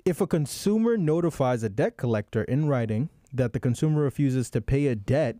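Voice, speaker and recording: A man's voice: mid-range voice, animated, a little fast, mellow, no room sound, studio quality. A man hosting a casual chat in an easygoing way, sometimes reading legal text aloud. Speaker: podcast host.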